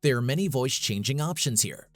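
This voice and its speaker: A man's voice: medium pitch, animated, fairly quick, no room sound. A man reading a scripted tutorial as its host, in an instructional, step-by-step manner. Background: background music.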